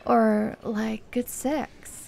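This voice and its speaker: Woman acting a slow, creaky-voiced flirty tomboy fighter. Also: a little high, steady tone, darkish tone, exaggerated voice. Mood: teasing, seductive. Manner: slow, teasing, giggly, encouraging. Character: flirtatious confident tomboy MMA fighter woman, playful, seductive coach. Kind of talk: ASMR roleplay, personal address to listener.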